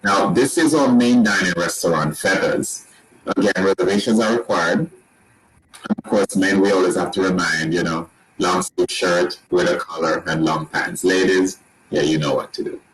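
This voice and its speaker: A man with a Jamaican accent, walking listeners through resort rooms and restaurants in a relaxed sales pitch with frequent restarts. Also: moderate pace, a little hiss or hum, rough recording. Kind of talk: presenting a slideshow tour.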